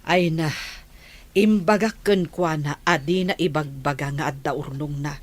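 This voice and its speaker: Actress as sceptical village wife, Ilocano accent, lowish pitch, grumbling, radio drama. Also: female voice, measured pace. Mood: wary, complaining. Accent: Filipino, Ilocano. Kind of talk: radio comedy drama acting.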